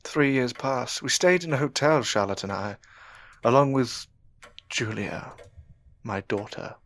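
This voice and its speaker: A man acting a grieving, ashamed Victorian widower in an audio drama, speaking in a melancholy, halting way with sighs. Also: mid-range voice, expressive, fairly bright tone. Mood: mournful. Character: grieving, ashamed Victorian widower in his twenties or thirties, self-reproaching. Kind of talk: audio drama acting with first-person narration.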